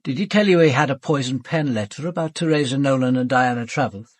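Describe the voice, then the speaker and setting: An actor playing a courteous but unyielding police commander in a radio drama, formal, measured and probing. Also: male voice, medium pitch, no echo, close to an everyday voice.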